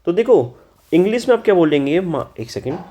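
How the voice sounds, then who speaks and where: A man's voice: sits high, big pitch swings, mellow. A man teaching German in Hindi, going through an exam paper and scolding students for common mistakes. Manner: spells out German words slowly. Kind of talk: exam-solution lesson in Hindi.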